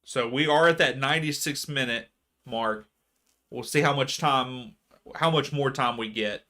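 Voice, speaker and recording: A man hosting a live soccer watchalong stream, chatting casually with viewers and ranting about fouls. His voice is going hoarse. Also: medium pitch, unhurried, sharp tone. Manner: chatty, reads chat aloud, rants about fouls. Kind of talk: casual live match commentary.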